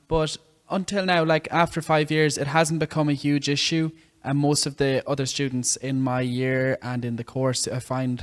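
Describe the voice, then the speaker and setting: A young man in his twenties from the southeast of Ireland with an Irish accent, a veterinary student giving earnest, thoughtful interview answers. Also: medium pitch. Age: 20s.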